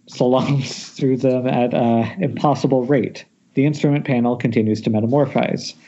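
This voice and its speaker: A man's voice: mid-range voice, darkish tone, slight background noise. Muffled man reading horror screenplay directions. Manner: stumbles over words, self-correcting. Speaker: stage-direction reader. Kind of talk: reading a horror screenplay aloud at amateur table read. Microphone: internet voice call. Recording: muffled internet call audio.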